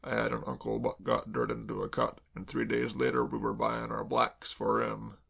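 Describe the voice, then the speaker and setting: A man voicing a mournful, doom-laden male servant, speaking slowly and heavily. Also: level tone, vocal fry, a bit bright. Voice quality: deep, slow, heavy. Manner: mournful, dwells on disasters. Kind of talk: audiobook character voice.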